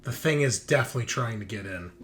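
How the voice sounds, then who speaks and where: A man's voice: medium pitch, lively intonation, quick pace, fairly bright tone. A man acting as game master, switching between scene narration and casual talk about the rules.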